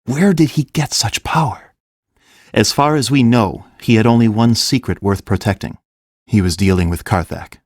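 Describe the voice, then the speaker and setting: A fantasy king with a low voice, gentle and earnest. He talks in a measured, grave manner, as a kind ruler would, in a dry, close audiobook recording. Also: no room sound. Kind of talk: full-cast audiobook acting.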